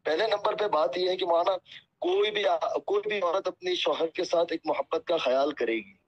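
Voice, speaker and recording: High-voiced apologetic man on muffled phone. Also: moderate pace. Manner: deferential, apologetic; pious, peppered with religious phrases. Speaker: Islamic cleric. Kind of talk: earnest phone conversation. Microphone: phone call. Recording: muffled lo-fi phone call recording.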